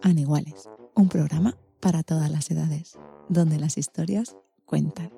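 Low, very mellow woman radio presenter. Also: fairly even intonation, unhurried, vocal fry, soft background music. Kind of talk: scripted radio programme narration.

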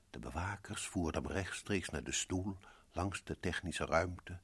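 Deep, solemn radio narrator. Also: male voice, sharp tone, breathy edge, no room sound, heavily acted. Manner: solemn, dramatic. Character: grave male narrator of a suspense story.

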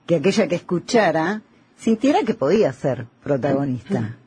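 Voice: mid-range voice, very animated, normal speed, a little mellow, slightly rough. A radio co-host with a Rioplatense Argentine accent, explaining things conversationally.

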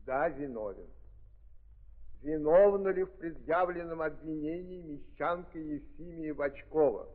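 Casting: man playing jury foreman, slightly high voice, solemn formal reading, vintage thin-sounding drama. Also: close to an everyday voice. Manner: formal, deliberate question-and-answer reading. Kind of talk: 1930s Russian radio drama acting. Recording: tinny 1930s recording, long reverb.

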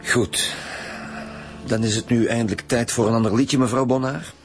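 A male actor playing a firm, methodical chief police inspector who questions in a measured, businesslike way in a radio crime drama. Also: medium pitch, fairly even intonation, faint music behind, lightly acted. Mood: confident, pressing.

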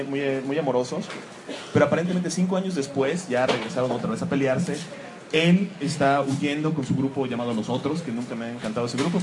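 A man giving a live, joking talk about comics to an audience in a room. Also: medium pitch. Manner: joking, self-mocking, animated asides. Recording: clear background noise.

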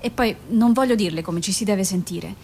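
An actress playing a careful, patient doctor in a radio drama, measured and reassuring, sometimes hesitant and apologetic. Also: female voice, a little mellow, breathy edge. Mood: gentle, careful. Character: careful, patient female doctor questioning a mother. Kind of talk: naturalistic radio drama acting. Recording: noisy recording with low rumble.

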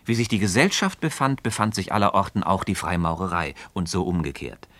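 An actor playing a composed, wise Freemason mentor in a philosophical radio play, reasoning in a measured, didactic, gently ironic manner. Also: male voice, low-pitched, animated, no echo. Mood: reflective, didactic. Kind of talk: mid-century German radio play acting of philosophical dialogue.

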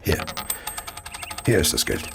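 An actor playing a coldly contemptuous gentleman serial killer in a radio play, speaking in a deliberate, theatrical and sinister way. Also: noisy background, lightly acted. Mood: quietly sinister. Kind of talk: radio play narration and dialogue.

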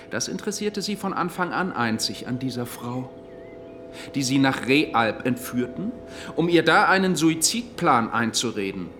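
An actor playing a stern, accusatory lawyer in a radio courtroom drama, speaking in formal, pointed rhetoric. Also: male voice, a little high, a bit bright, a little hiss or hum. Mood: accusing, scornful. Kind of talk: German radio play acting.

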